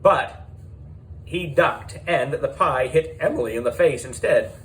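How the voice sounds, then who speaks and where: A man narrating a children's audiobook in an excitable, playful storytelling manner. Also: medium pitch, measured pace, slight background noise, rough recording.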